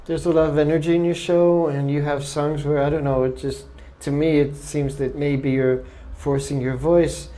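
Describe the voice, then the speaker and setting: A man's voice: on the high side, level tone, normal speed, few pauses, mellow. A man interviewing a musician, asking brief, polite questions in careful English. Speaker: festival interviewer.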